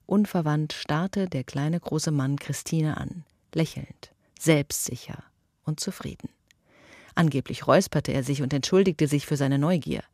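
A speaker reading a passage from a novel in a slow, deliberate way. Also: medium pitch, darkish tone, no room sound, studio quality.

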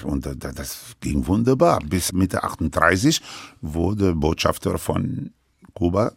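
An older man who grew up speaking French in Lebanon, recounting his life story in halting, broken sentences full of restarts. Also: low-pitched, lively intonation, creaky, husky, dry recording, clean studio sound. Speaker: interviewee.

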